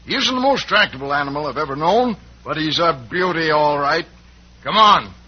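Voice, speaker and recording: An actor playing a boastful rancher villain in a folksy, proud way, in the style of a 1940s radio western. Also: male voice, a little high, lively intonation, normal speed, breathy edge. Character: smooth, boastful rancher horse thief, adult male villain. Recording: muffled, noisy old radio recording.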